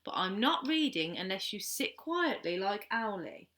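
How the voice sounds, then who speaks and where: A woman's voice: medium pitch, sing-song, measured pace, pushed and bright. A woman voicing a small, fussy baby owl boy in a children's story, indignant and whiny. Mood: prim.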